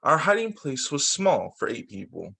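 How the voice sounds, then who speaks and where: A man's voice: medium pitch, sing-song, normal speed, gravelly, bright, forceful tone, slightly rough. A man reading a children's book aloud as a teacher, occasionally stumbling, repeating phrases and adding casual asides. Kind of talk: children's story time read-aloud.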